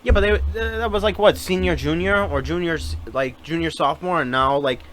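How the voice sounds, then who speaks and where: A man's voice: on the high side, a little fast, a bit bright, a little hiss or hum. A man co-hosting a podcast, chatting casually, hesitant and full of "like". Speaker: podcast co-host. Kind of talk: casual chat.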